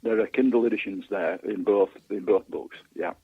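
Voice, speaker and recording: A man's voice: fairly low pitch, moderate pace, slightly rough, no echo. A man speaking as a guest author in a casual interview, hesitant and stammering over repeated words, on a thin phone line.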